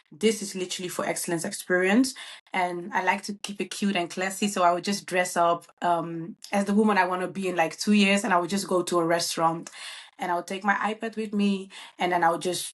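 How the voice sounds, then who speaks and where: A bubbly woman. She answers interview questions casually, with frequent ums and uhs. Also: lowish voice. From Netherlands. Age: 20s. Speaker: guest, event planner.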